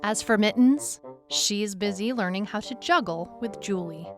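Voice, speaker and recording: A woman's voice: medium pitch, measured pace, natural voice. Woman as weary, skeptical detective narrator over music. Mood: brisk, wry. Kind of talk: scripted first-person story narration. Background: music bed under the voice.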